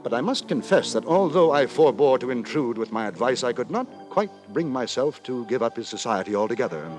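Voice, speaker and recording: An actor playing an earnest, long-suffering, moralizing gentleman narrator in a theatrical, formal 1950s radio drama. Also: medium pitch, expressive, brisk, close to an everyday voice, poor audio. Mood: indignant, resigned. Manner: theatrical, formal, fretful storytelling. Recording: 1950s radio broadcast with music.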